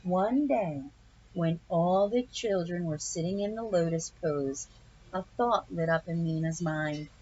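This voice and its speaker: A woman reading a children's picture book aloud in an expressive, deliberate storytelling manner, with asides to young listeners. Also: lowish voice, husky, dull audio. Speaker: storybook reader.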